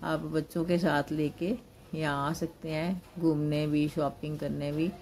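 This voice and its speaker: A woman's voice: low-pitched, level tone, measured pace, a little mellow, slight background noise. An older woman in a busy indoor mall, narrating a family outing in a homely way into her handheld phone. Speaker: vlogger, grandmother. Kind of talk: casual vlog narration. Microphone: handheld phone camera.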